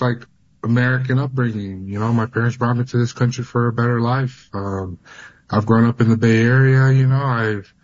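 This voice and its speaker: A man's voice: mid-range voice, dull audio. A young man from California telling his own story on a radio show, hesitant and casual with many restarts. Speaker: guest sharing his story.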